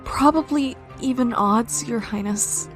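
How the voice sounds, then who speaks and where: High-voiced, nervous woman doctor played by an actress. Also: a little slow, faint music behind, natural voice. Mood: uneasy. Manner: hesitant, nervous, deferential. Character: anxious, deferential woman doctor reporting to royalty. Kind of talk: audio drama acting.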